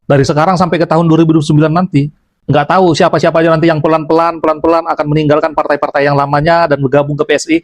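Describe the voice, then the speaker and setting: A man giving political commentary, brisk and rhetorical, asking questions to make his point. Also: fairly high pitch, level tone.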